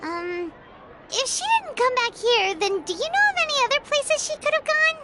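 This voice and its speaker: A woman's voice: very high-pitched, normal speed, very noisy. A woman voicing a small, perky, chatty non-human companion in a video game who speaks of herself by name. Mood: eager. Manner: excitable, talks of herself by name. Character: tiny floating female companion, perky, chatty.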